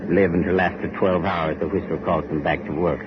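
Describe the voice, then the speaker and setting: A man acting a grim older factory boss from 1940s radio horror. His voice sits very low and he taunts in rough rustic phrases. The recording is dull, with heavy noise. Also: fairly even intonation, a little fast, lightly acted. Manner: menacing, taunting, rustic speech. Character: grim, bitter older male factory overseer.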